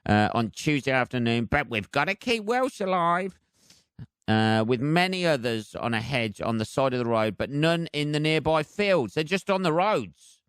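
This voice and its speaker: A British man hosts a solo comedy podcast in a clear, smooth voice, recorded dry and close. He rambles casually and sardonically, swearing freely as he rants. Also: a little high, very animated, normal speed, fairly bright tone, dry recording. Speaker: podcast host.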